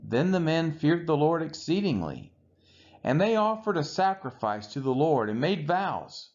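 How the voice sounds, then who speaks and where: A man gives a solemn scripture reading in a slightly high voice that rises and falls widely. He takes his time, shaping each phrase deliberately. The recording is somewhat dull and muffled. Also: sing-song, darkish tone. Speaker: scripture reader. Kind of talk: solemn Bible read-aloud.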